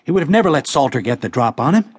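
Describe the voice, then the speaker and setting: A stubborn, worried cattle rancher in a dramatized western. His voice is husky and swings in pitch as he talks. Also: sing-song, racing, no echo. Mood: puzzled, earnest.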